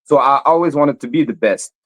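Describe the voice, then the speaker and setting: A man's voice: medium pitch, a little fast, fairly bright tone. Montreal guy around 30, fighter on a podcast, keeps stuttering n repeating himself. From Montreal, Canada. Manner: stammers and repeats words often. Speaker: MMA fighter guest. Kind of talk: casual interview talk.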